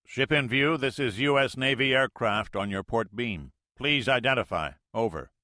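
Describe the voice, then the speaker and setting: Man voicing a clipped, businesslike Navy pilot on the radio; higher than the narrator's own voice, bright. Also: moderate pace, no echo, studio quality, lightly acted. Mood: terse.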